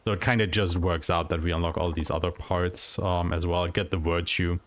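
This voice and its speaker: Low-pitched man commentating a video game run. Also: little pitch movement, moderate pace. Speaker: speedrunner giving live commentary. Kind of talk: casual explanatory game commentary. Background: video game music and sounds.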